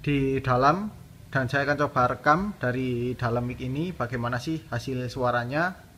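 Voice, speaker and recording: A man's voice: medium pitch, moderate pace, bright, forceful tone, slight background noise. A man casually narrating a hands-on tutorial, explaining step by step as a vlogger. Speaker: vlogger demonstrating a product installation. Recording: dull lo-fi sound, music behind.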